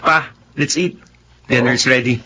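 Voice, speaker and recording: A man acting a polite, warm young professional with a Filipino accent in a radio drama. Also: medium pitch, fairly even intonation, a little slow, faint music behind. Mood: respectful, cheerful.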